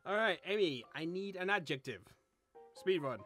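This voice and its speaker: Very bright-voiced woman hosting a game. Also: lowish voice, expressive, moderate pace, faint music behind. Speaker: host. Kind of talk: casual game-show-like banter among friends. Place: online voice call.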